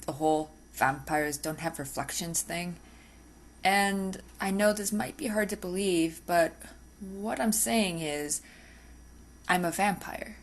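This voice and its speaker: A woman's voice: fairly low pitch, exaggerated voice. A woman voicing an obsessive, possessive but politely spoken female vampire lab technician in an ASMR roleplay, with teasing, hesitant asides. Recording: faint background noise.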